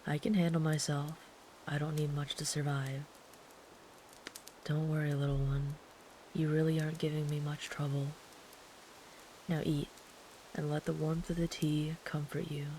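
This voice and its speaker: A woman voicing a big, gentle, protective traveler camping in the woods, speaking softly and soothingly, sometimes in a whisper, as ASMR comfort roleplay. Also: low-pitched, a little slow, pauses often, a little hiss or hum, rough recording. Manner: soft, soothing, calm, at times whispered.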